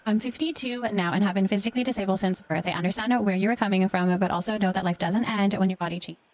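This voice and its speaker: Fast-talking Canadian woman chatting on stream. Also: mid-range voice, a little mellow. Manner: affectionate, encouraging, addresses listeners with endearments. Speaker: livestream host. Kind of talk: casual livestream chat, reading and answering viewer comments.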